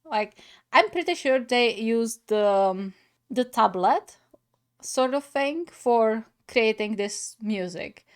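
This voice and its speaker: Gaming host, woman, highish voice, unhurried and halting, casual off-the-cuff reactions. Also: expressive.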